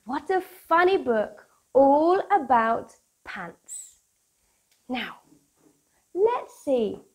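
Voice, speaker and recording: A British woman in her thirties presenting for young children in a playful, expressive, sing-song manner, as if hosting a picture-book club. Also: high-pitched, very slow. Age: 30s. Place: small room at home, indoors. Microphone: lapel mic.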